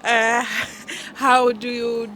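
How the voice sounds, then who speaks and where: Woman, slow and flat, hesitant street answer. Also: a little high, slight background noise. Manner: hesitant, searching for words. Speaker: interviewee. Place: outdoors on a city street.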